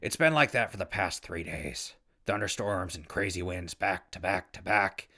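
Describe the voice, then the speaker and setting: Man playing a deep, gravelly, weary wasteland survivor. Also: normal speed, sharp tone, exaggerated voice. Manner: sarcastic, colloquial, exasperated. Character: wry, weary, good-hearted, easily exasperated male wasteland survivor. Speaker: protagonist and narrator. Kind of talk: post-apocalyptic audio drama acting.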